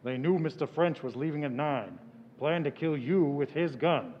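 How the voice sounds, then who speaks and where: An actor playing a matter-of-fact male police officer, explaining quietly in a 1940s radio drama recreation. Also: animated, moderate pace, big-room sound, highs cut off. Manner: low-key explaining.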